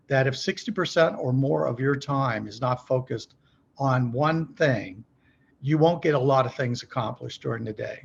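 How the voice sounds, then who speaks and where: A man's voice: medium pitch, creaky, breathy edge, highs cut off. A middle-aged man speaking as a podcast guest, explaining business practice conversationally in a folksy way, often posing questions and checking in with the listener. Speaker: podcast guest, company executive.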